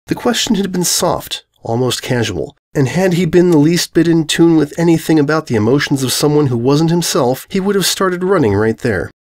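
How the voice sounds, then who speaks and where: Man narrating a story with lively intonation. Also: fairly high pitch, normal speed, hardly any pauses. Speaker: fan fiction narrator. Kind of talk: scripted dramatic story narration.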